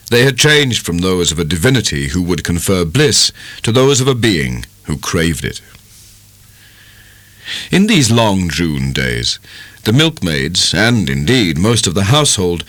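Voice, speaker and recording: British male audiobook narrator, low register, lively intonation, dramatic and measured delivery of classic prose. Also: dry recording. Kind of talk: dramatic scripted literary narration.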